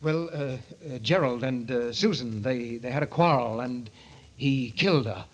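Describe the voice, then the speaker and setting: An actor playing a scheming murderer in an old-time radio mystery, speaking in a cold, deliberate, taunting manner. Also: male voice, medium pitch, dull audio, natural voice. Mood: feigned shock. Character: cold, scheming male murderer narrating his own crime.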